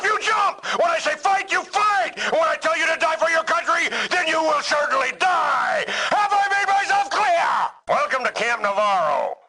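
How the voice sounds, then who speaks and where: An actor playing a furious, bullying army drill sergeant in a video game, barking orders with contempt and sarcasm. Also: male voice, extremely high pitch, pushed and bright, exaggerated voice, low-quality recording. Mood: scornful, sarcastic.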